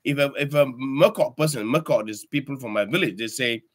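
An older man in his sixties, recording a language lesson at a webcam in his home study, speaking haltingly with many fillers and restarts as he addresses viewers as friends. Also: medium pitch. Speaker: language tutor. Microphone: webcam at desk.

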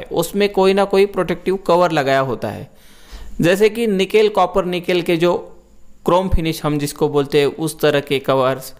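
A middle-aged man gives an explanatory talk to camera. His voice sits slightly high and is notably smooth and clear. He goes through things methodically in plain language, speaking into a lapel mic. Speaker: presenter of an audio equipment channel.

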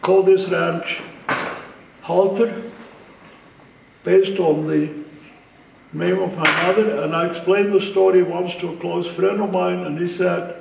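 An older man with a Swiss accent gives an informal, dry-humored toast at a dinner, speaking in halting phrases into a handheld mic in a large barn. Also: high-pitched, steady tone, vocal fry. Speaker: winery owner giving a dinner toast. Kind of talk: informal after-dinner speech. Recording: echoey barn, distant mic, crowd noise.

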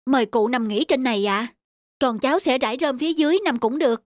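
Woman voicing a gentle young peasant man. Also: sits high, fast talker, dry recording, close to an everyday voice. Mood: polite gentle. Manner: polite and soft-spoken. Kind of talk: cartoon voice acting. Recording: clear background music.

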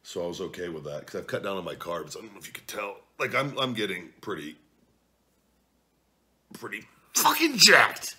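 A man in his forties chatting casually at home as a vlog host, reading viewer comments into a desk camera. He is blunt and profane, jumping from low mutters to loud outbursts. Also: big pitch swings, moderate pace. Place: home living room at night, seated at a table.

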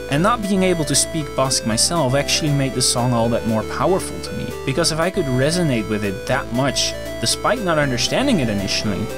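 A man whose first language is Dutch, narrating a scripted video as the channel host and lifting his voice eagerly at the start of phrases. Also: mid-range voice.

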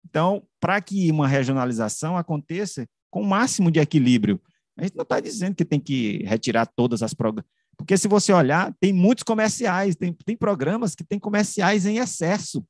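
A man speaks in a somewhat high register, explaining his points like a researcher on a panel. He circles back, rephrases and poses questions that he then answers. The sound is close and very dry, from a big microphone on a video call. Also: expressive, darkish tone, dry recording. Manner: halting, repeats phrases and rephrases, rhetorical questions. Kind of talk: explanatory academic discussion. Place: room with bookshelves, on a video call. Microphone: large microphone close to the mouth.

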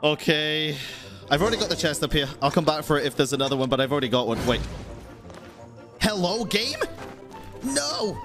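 Guy streaming a game, super animated and reactive, game music going behind him. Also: on the high side, moderate pace, long pauses. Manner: reactive, exclamatory. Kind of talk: casual livestream game commentary.

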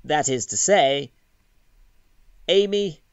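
An actor playing a polite, easily flustered young British gentleman suitor in a period audio drama, formal and hesitant. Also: on the high side, animated, takes it slowly, clean tone, no echo, highs cut off.

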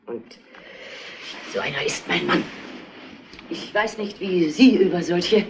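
A middle-aged actress playing a proud, grieving mother in a German crime drama, speaking in a deliberate, halting, emphatic way. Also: on the low side, gravelly, background noise. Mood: bitter, defiant. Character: grieving, proud mother defending her dead daughter.

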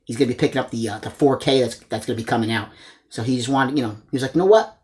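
A man in his forties talks to the camera as a channel host. His voice sits at an average pitch. He is enthusiastic and informal, presenting items in a casual, chatty way and addressing viewers as guys. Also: very fast, a little mellow. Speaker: host of a movie collecting channel. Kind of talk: casual chat showing a haul to camera. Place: small indoor room lined with disc shelves.

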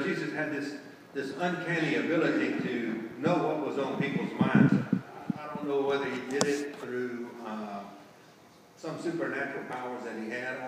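Older lay preacher, folksy and conversational, heard from far back in a reverberant church. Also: male voice, mid-range voice, background noise. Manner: folksy, asks rhetorical tag questions. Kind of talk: sermon. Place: large church sanctuary, indoors. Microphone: far camera at the back of the sanctuary. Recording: echoey church hall, distant camera.

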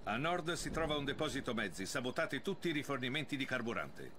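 An actor playing a commanding, matter-of-fact officer giving a mission briefing in a war game. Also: male voice, medium pitch, big pitch swings, brisk, noisy background, natural voice. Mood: commanding, businesslike.

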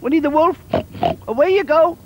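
A man voicing the little pigs in a children's story, high and squeaky, answering back the wolf together. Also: normal speed, very noisy. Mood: cheeky. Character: squeaky little piglets, cheeky and defiant.

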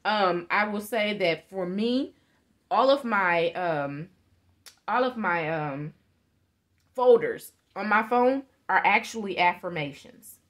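A woman's voice: mid-range voice, lively intonation, slow pace, a bit bright. A woman in her thirties giving an earnest motivational talk as a guest on a live video call from her living room. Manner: earnest, gestures while talking, laughs often. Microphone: webcam on a video call.

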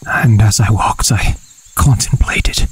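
A man's voice: lowish voice, fairly even intonation, takes it slowly. A man vlogging a disc golf round in a playful, jokey manner, mixing casual chat with mock-dramatic narration.

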